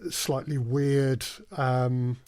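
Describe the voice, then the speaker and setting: A man's voice: medium pitch, level tone, drawn-out words, a little mellow, clean tone, no echo. A man talking conversationally as an interview guest, explaining his work through anecdotes. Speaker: podcast guest, audio drama producer.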